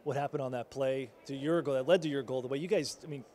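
A man's voice: medium pitch, fairly even intonation, quick pace, a little mellow, a little hiss or hum. A man working as a team reporter, asking long, rambling postgame questions full of restarts.

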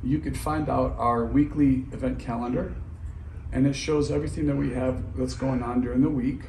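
A man's voice: medium pitch, steady tone, normal speed, creaky, darkish tone. A middle-aged man delivering scripted church announcements to camera. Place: large indoor church foyer and halls. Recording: roomy, distant, noisy hall sound.

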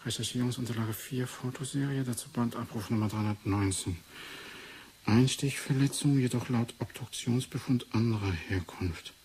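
Grumbling scientist doctor man acting, medium-pitched, testy and muttering, 1980s radio play, faint noise. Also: moderate pace, darkish tone, close to an everyday voice. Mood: reading, muttering. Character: grumbling, reluctant male neuropsychology researcher and doctor. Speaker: researcher character in a radio play.

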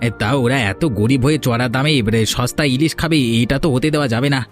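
A man's voice: medium pitch, fast, faint music behind, natural voice. A man voicing an envious, conniving village husband in a Bengali cartoon.

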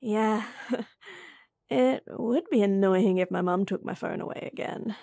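A woman playing a flustered, husky childhood-friend crush. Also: medium pitch, slow, warm tone. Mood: playful teasing. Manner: swings between whispered inner thoughts and playful teasing. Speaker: voice actress. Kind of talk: audio roleplay.

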